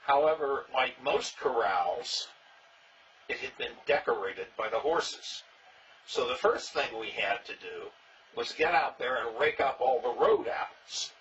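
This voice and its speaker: An older man, interviewed about his early days in a reenactment society, telling stories with dry, plainspoken humor and a loud voice. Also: mid-range voice, animated, unhurried, recorded from a distance. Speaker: interviewee, former king of a historical reenactment society. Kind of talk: casual storytelling interview. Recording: dull sound, faint noise, from off mic.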